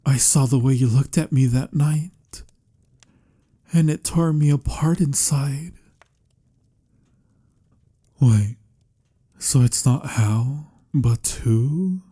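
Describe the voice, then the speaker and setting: A man playing a melancholy, courtly vampire lover in a romantic ASMR audio roleplay, speaking softly in formal, old-fashioned, measured phrases with a breathy, husky voice. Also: mid-range voice. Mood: anguished, ashamed, then exasperated, pleading. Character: melancholy, courtly male vampire lover, patient but pained.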